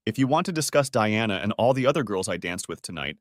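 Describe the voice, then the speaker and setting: A man's voice: fairly low pitch, very animated, fast talker, dry recording, studio quality. A man voicing a fed-up, sarcastic middle-aged husband in a narrated story. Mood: sarcastic, weary.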